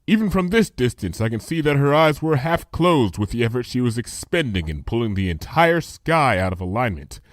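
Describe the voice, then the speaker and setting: A man narrating a comic fan story in a lively storytelling style. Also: mid-range voice, moderate pace, few pauses, dry recording. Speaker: fanfiction reader. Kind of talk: dramatic audiobook narration.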